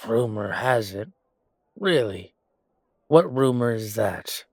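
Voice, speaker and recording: A man acting a gruff, suspicious shopkeeper with a guarded, questioning manner in an amateur audio roleplay. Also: mid-range voice, animated, slow, no echo, clean studio sound. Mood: suspicious, probing. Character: gruff, suspicious male merchant guarding his shady trade.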